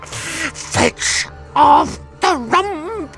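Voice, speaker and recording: A drunken old pirate raves in a radio play. The voice is very high, cracked and creaky, dragging and lurching erratically over soft music. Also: male voice, animated, extremely slow, full character voice. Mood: crazed, demanding. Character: raving, drunken old pirate demanding rum. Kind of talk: radio drama acting.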